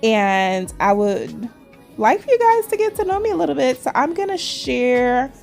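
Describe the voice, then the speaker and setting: A woman's voice: high-pitched, big pitch swings, moderate pace, gravelly, a little mellow, soft background music. A woman in her forties with a Trinidadian and New York accent, chatting casually to viewers while she does her nails. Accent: Trinidadian mixed with New York. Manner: chatty, addresses viewers as cuties, says y'all. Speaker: nail vlogger.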